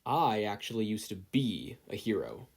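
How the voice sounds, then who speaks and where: A man's voice: on the low side, very animated, normal speed, vocal fry, breathy edge, heavily acted. A man voicing a hearty, earnest older hero and kindly mentor, speaking in an emphatic, encouraging way for an audiobook. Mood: earnest, serious. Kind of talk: audiobook character voice.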